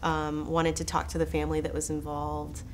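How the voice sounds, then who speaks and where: An American woman with a slightly low voice speaks as an interviewed filmmaker. She is enthusiastic and conversational. A faint noise sits behind her voice. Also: completely flat delivery, normal speed. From Texas, United States.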